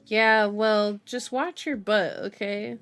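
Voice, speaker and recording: A woman's voice: medium pitch, fairly even intonation, takes it slowly, a bit bright. A woman voicing a worried, serious policeman in an earnest, cautioning way while reading a novel aloud. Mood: concerned.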